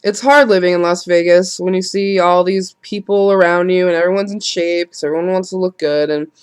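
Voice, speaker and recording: A woman's voice: on the low side, fairly even intonation, a little fast, clear, resonant tone. A woman, congested and nasal from a cold, rambling frankly through a casual vlog, swearing and laughing at herself. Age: late 20s. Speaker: vlogger.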